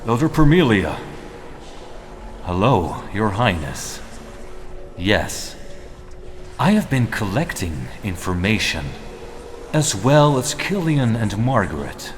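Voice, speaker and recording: A deferential vampire underling voiced by a man speaks very slowly and courteously. His low voice has expressive swings and a long hall reverb. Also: a little hiss or hum. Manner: formal, courteous, measured. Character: deferential male vampire servant of the elders. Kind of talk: scripted fantasy role-play voice acting.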